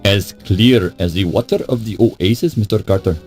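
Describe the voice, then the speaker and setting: An actor voicing a formal, polite but insistent Egyptian official in a video game. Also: male voice, on the low side, lightly acted. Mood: offended, veiled threat. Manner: courteous, formal, measured diplomacy. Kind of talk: theatrical video game voice acting. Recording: music under the voice. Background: synthesized game music.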